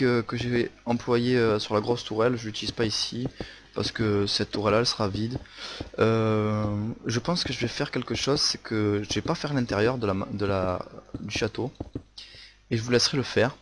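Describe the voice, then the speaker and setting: A young man with a Marseille accent narrating a building tutorial casually, hesitant with many fillers and restarts. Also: fairly low pitch. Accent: Marseille French. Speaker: Minecraft building tutorial host. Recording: faint noise and clicks.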